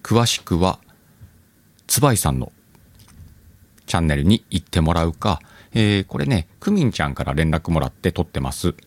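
A man's voice: deep voice, no echo. A man hosting a channel, chatting informally and addressing his listeners as he makes a casual announcement. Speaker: channel host.

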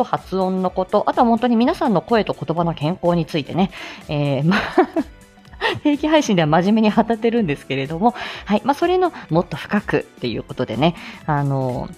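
Giggly chatty woman doing a casual livestream, pitch bounces around a lot, bit of music behind. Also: darkish tone. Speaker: host of a live audio stream; speech-language therapist. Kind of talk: casual live-stream chat with listeners.

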